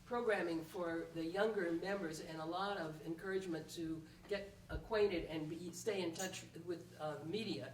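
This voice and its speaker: A woman recalling things conversationally in a group discussion, with measured, slightly hesitant phrasing. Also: on the low side. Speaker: panel speaker. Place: indoor library event room, far microphone. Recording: roomy, distant event recording with faint noise.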